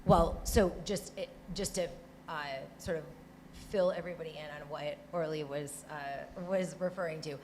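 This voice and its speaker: A woman with a Michigan accent speaks on a live panel, her voice sitting quite low. She talks conversationally, often restarting and checking in with a quick "right?". A faint hiss of noise sits behind her. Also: fairly even intonation, darkish tone. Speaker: panelist, former Senate staffer.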